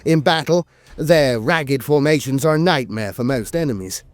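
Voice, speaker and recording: A man's voice: medium pitch, moderate pace, no room sound. A man reading scripted fantasy lore in a storyteller's delivery, as a narrator. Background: music.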